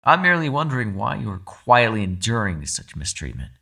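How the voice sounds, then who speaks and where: Man voicing a low, crisp-edged manipulative captive entity. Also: big pitch swings, exaggerated voice. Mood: pointed, persuasive. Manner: measured, formal, probing, quietly condescending. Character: captive mind-controlling anomaly, calm, shrewd, persuasive manipulator. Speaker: voice actor. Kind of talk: ASMR roleplay, dramatic monologue.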